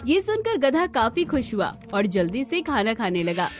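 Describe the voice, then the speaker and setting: High, lively woman storyteller. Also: normal speed, faint music behind. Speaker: story narrator. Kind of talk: scripted moral-story narration for a cartoon.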